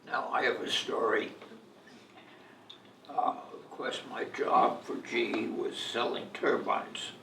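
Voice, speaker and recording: An elderly man in his eighties or older telling personal stories in a halting, deliberate way, as in an interview. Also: medium pitch, fairly even intonation. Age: 80s or older. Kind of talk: reminiscing, personal storytelling. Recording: echoey room, distant mic, faint noise.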